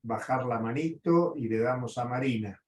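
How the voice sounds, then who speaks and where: A man moderating an online class, brisk and encouraging. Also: medium pitch, darkish tone, slight background noise. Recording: roomy audio from a distant mic with faint ambience.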